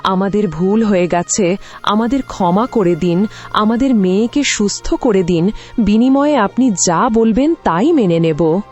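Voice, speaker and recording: A woman's voice: medium pitch, lively intonation, a little slow, a little mellow, lightly acted. Cartoon mother, grieving and begging, a woman plays her; muffled track, music. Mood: pleading. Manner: tearful, begging. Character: grieving village mother, pleading.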